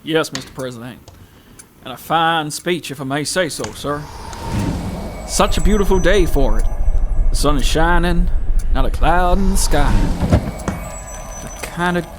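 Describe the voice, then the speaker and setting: Flattering, slightly high man as presidential aide. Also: measured pace, long pauses, noisy background, lightly acted. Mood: fawning, cheerful. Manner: flattering, deferential. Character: deferential, chatty male presidential aide. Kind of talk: scripted audio drama acting.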